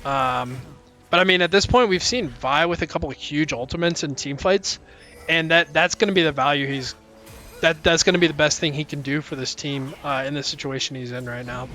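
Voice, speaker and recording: A young man casting a live college esports match, calling plays quickly, with many fillers and sudden jumps in pitch at big plays. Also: sharp tone, faint music behind. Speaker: esports caster. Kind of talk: live play-by-play commentary. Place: college esports broadcast booth.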